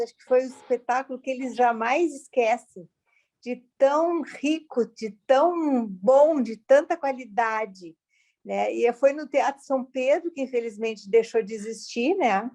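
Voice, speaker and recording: High-voiced older woman. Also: normal speed, darkish tone. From southern Brazil. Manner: effusive. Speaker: audience participant. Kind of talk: casual personal testimony.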